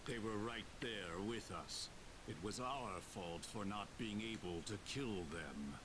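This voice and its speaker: A man's voice: lowish voice, slow. A man playing a cold, menacing villain in a video game. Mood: cold, calculating. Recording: clear hiss over game audio.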